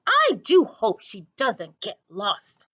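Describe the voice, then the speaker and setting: A fretful, doting elderly neighbor from a storybook, voiced by a woman reader. Her speech is slow and animated, rising and dipping widely. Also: fairly high pitch, heavily acted. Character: Miss Forcible, fussy, fond elderly lady neighbor.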